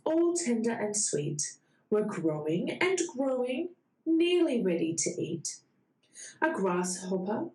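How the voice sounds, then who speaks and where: Slow, sing-song woman reading to children. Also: medium pitch, warm tone. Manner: sing-song, dramatic pauses before key words. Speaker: children's read-aloud host. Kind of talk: bedtime storybook read-aloud for young children. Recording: roomy echo.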